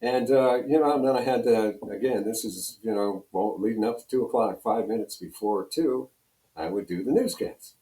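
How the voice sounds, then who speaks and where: Older American man, mellow, roomy sound. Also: lowish voice, moderate pace. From United States, Pennsylvania. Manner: rambling, anecdotal. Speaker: radio program director and on-air personality, interview guest. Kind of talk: casual reminiscing storytelling. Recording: roomy, echoing room sound.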